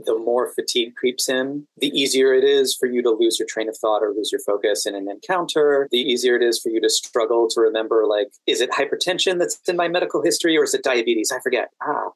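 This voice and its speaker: A man's voice: medium pitch, fast talker, few pauses, no room sound, poor audio. A man from Washington DC talking as a podcast guest, explaining things casually and thoroughly. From Washington DC, United States. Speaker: podcast guest, working standardized patient.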